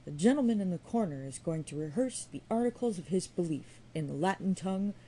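A woman's voice: fairly low pitch, expressive, fairly quick, warm tone, low-quality recording. A woman voicing a pompous, mocking young male undergraduate making a mock-formal announcement.

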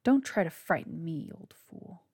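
A woman's voice: low voice, expressive, a little slow, dark tone, slightly rough, no room sound, studio quality, exaggerated voice. A woman voicing a cruel, arrogant young male vampire who taunts his father. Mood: mocking. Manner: taunting, sneering.

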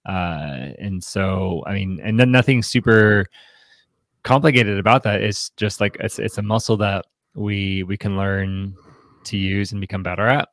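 A man hosting a podcast, discussing in a casual way, haltingly, often repeating words and saying "like". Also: fairly low pitch, a bit bright, dry recording. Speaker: podcast host.

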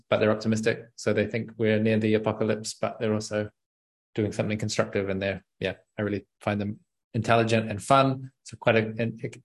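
A young man, talking casually and thoughtfully as an interview guest, often pausing with ums and small laughs. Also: lowish voice. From New Zealand. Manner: thoughtful, searching for words, frequent ums and laughs. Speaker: podcast guest and channel host.

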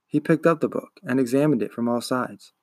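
A man's voice: medium pitch, fairly quick, dry recording. A man reading a children's book aloud as a storybook narrator. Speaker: podcast host, audiobook reader.

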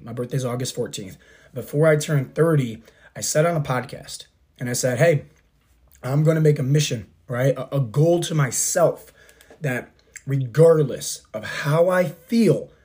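A man's voice: medium pitch, darkish tone. A man in his thirties, a coach hosting his podcast, giving an earnest motivational monologue with a scratchy, failing voice. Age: 30s. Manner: earnest, repetitive, coach-like pep talk. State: scratchy throat, losing his voice. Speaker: podcast host and fitness coach.

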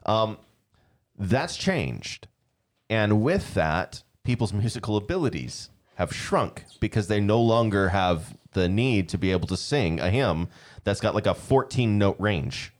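A man with a fairly low voice hosts a relaxed conversation about church music. His pitch swings widely as he talks, animated and ready to laugh. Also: measured pace. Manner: animated, laughs often, restarts sentences. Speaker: podcast host, church leader.

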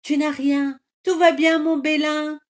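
A woman's voice: fairly low pitch, sing-song, a little slow, darkish tone. A woman doing a bold young rooster hero. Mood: relieved. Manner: rousing, bold calls. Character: brave young rooster boy.

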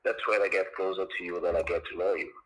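A man being interviewed as a guest, talking in a halting way that repeats words and restarts. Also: deep voice, flat delivery, poor audio. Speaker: guest, teacher. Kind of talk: casual interview chat. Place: China.

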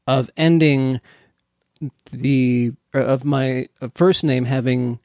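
A man co-hosting a podcast, rambling and self-mocking in casual chat, with a low, mumbly speaking voice and many restarts. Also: fairly even intonation, slow pace, darkish tone, no room sound, clean studio sound. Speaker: podcast co-host.

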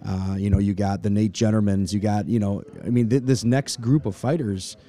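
A man's voice: fairly low pitch, steady tone, moderate pace, warm tone. A forty-year-old man from Michigan, a fight matchmaker answering interview questions in a chatty way, full of "you know". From Michigan, USA. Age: 40s. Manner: talkative, many fillers like "you know".

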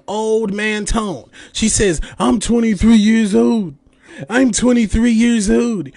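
Late-thirties male podcast host, high-pitched, dry close mic, angry profane monologue. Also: a little mellow, no echo. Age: 30s. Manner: heated, profane, mocking rant with mimicked quotes. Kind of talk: ranting commentary.

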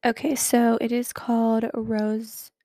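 A woman's voice: fairly high pitch, monotone, slow, warm tone, no echo, studio quality. A young woman in her twenties hosting a casual chat podcast, sounding nasal as she gets over a cold, chatty and full of fillers. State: sick, recovering from a cold. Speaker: podcast host.

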